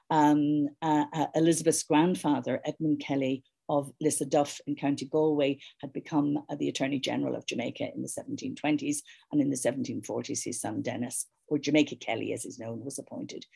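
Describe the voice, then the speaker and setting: An older woman giving an academic keynote lecture over a video call from a library room, measured and full of fillers and restarts. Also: low voice, vocal fry, dark tone, breathy edge. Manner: measured, many fillers and restarts, asks for next slide. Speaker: keynote speaker, historian. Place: library room with bookshelves, indoors. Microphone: video call webcam.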